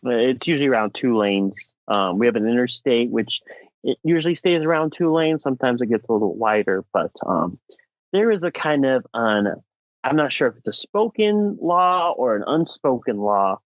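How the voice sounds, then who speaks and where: Animated young American man ranting, dry sound. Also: medium pitch, moderate pace, dry recording, dull audio. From United States. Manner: exasperated, emphatic, theatrical. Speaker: podcast co-host. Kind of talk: comedic rant on a podcast.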